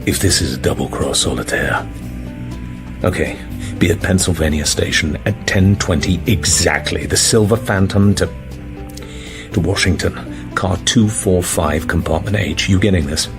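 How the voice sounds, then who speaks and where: A cool, guarded secret agent in a radio drama. His low voice is clipped and dryly wry, with music playing behind the dialogue. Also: moderate pace. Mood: wary, commanding.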